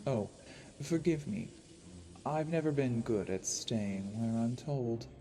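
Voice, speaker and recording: An actor playing a sly assassin posing as a charming nobleman, narrating an audio drama in a courtly, measured, theatrical way. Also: medium pitch, expressive, heavy background noise. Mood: charming, teasing. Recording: long reverb, music underneath.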